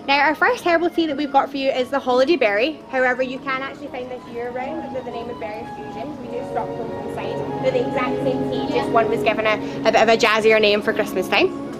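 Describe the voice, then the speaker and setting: Loud, chatty woman tour guide. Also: high voice, fast talker, over loud music. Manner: loud, lively and chatty, with playful jokes. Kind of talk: guided tour talk to a group. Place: outdoor garden at a theme park. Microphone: camera a few steps away, no microphone.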